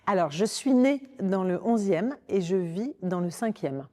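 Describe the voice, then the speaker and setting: A woman's voice: medium pitch, expressive, measured pace, a little mellow. A woman in her forties with a Parisian French accent, speaking informally in an interview and often rephrasing as she explains. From Paris, France. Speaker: podcast guest, communications director. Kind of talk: conversational interview, recounting own career.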